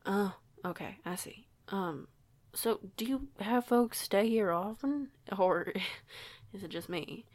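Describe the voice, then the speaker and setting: A woman's voice: medium pitch, animated, takes it slowly, darkish tone. A woman playing a shy young female werewolf newcomer in an audio roleplay, hesitant, folksy and polite. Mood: bashful, curious.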